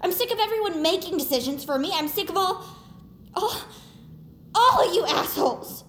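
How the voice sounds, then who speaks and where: A woman's voice: very high-pitched, animated, moderate pace, a bit bright, slight background noise. A woman playing a fed-up teenage girl who speaks her mind earnestly and emotionally in an audio drama. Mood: furious. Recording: roomy echo with music underneath.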